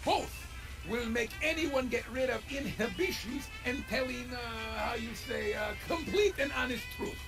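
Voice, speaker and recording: A man's voice: very high-pitched, lively intonation, measured pace, heavily acted. A man voicing a scheming male shopkeeper with a put-on Russian accent, speaking in broken grammar and boasting in grand propaganda phrases, as video game acting. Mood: grandiose. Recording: game audio under music.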